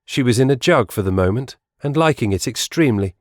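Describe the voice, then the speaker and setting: A man's voice: medium pitch, expressive, a little fast, dry recording, clean studio sound. A man reading scripted storybook narration as the narrator of an audiobook.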